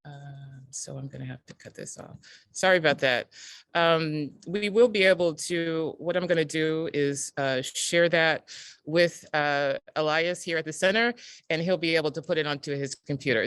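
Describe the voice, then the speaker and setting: A woman hosting a live online event in a casual, halting, apologetic way. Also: deep voice, a bit bright, dry recording. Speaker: event host. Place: community center, on a group video call. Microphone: computer video call.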